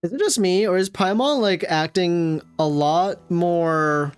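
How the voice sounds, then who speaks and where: Slow, low-voiced woman game streamer. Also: smooth. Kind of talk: casual live gaming commentary. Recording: game music behind.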